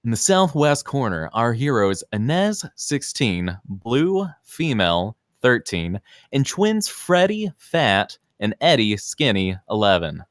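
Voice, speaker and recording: A man's voice: mid-range voice, big pitch swings, slow pace, no echo, clean studio sound. A man narrating scene descriptions and stage directions at a screenplay table read.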